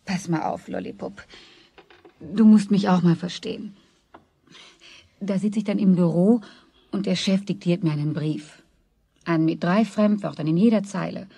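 A woman's voice: fairly low pitch, normal speed, vocal fry, long pauses, warm tone, breathy edge. An actress playing a worried, probing mother, reproachful and pleading, in a children's radio play. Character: worried, probing mother of two, office worker.

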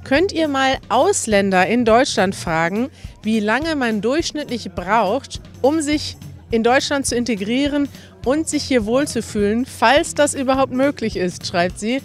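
A woman host presenting a topic upbeat and clearly outdoors at a meetup, holding a mic. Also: a little high, lively intonation, unhurried, soft background music. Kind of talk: presenting to camera.

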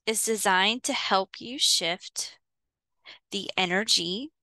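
A woman leading a guided visualization in a soothing, instructional way, pausing between short phrases. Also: medium pitch, bright, forceful tone, no echo. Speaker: guided meditation host. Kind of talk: guided visualization, meditation narration.

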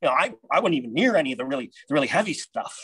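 A man playing a husky, rattled rioter. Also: a little high, very animated, very fast, fairly bright tone, dry recording, exaggerated voice. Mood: worried. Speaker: actor in a comedy sketch. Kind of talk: video-call play reading. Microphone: video call webcam.

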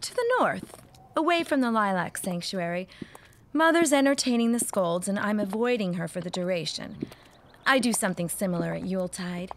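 A woman's voice: mid-range voice, expressive, moderate pace, a little hiss or hum, natural voice. A woman playing a restless, genteel young widow, wistful and wry, in a period audio drama. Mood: airy.

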